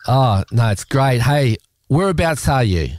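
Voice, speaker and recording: An Australian man hosts a radio show in a medium-pitched voice. He chats informally and rambles, with a joking streak. The sound is dry, with hardly any room around him. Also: animated, unhurried, a little mellow, dry recording. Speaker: radio host and interviewer. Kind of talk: casual radio presenting and interview chat.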